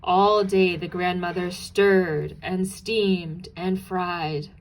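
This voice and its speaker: Slow-paced woman reading a storybook. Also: fairly low pitch, fairly even intonation, rough recording. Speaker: storybook narrator. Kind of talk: expressive children's read-aloud.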